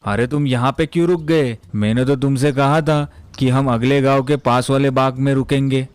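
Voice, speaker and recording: A man's voice: medium pitch, steady tone, normal speed, clean tone, dry recording, close to an everyday voice. A man playing a shrewd, commanding merchant boss in a moral story, speaking with authority. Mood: alarmed, scolding. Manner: authoritative, explanatory. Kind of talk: acted moral story dialogue.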